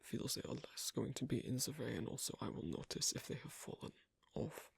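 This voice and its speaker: A performer voicing an awkward, asocial werewolf in an ASMR roleplay, speaking in a husky half-whisper with halting, terse phrases, as a non-native English speaker. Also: mid-range voice, expressive, no echo, heavily acted. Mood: shyly fond. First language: not English. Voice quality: breathy, husky. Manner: halting, terse, quiet instructions with clipped grammar. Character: asocial, awkward werewolf teaching sewing on a date.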